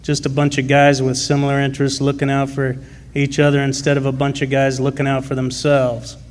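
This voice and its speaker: A man acting as a plainspoken, proud miner, even-toned. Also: mid-range voice, fairly quick, slight background noise, close to an everyday voice. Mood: earnest, persuasive. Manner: plainspoken, folksy working-class speech. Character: gruff, proud working-class miner and union man. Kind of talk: live original radio drama.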